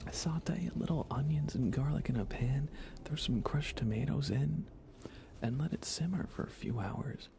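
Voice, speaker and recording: An American man speaking in soft, breathy whispers, chatting casually and unhurriedly to viewers of an ASMR livestream. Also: medium pitch. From United States. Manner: hushed, unhurried, conversational.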